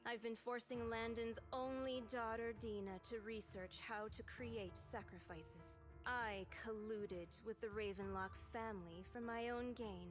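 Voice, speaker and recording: An actress playing a resolute, self-sacrificing female retainer in a dramatic video game, speaking in a solemn, measured way over music. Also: fairly high pitch, fairly even intonation, natural voice.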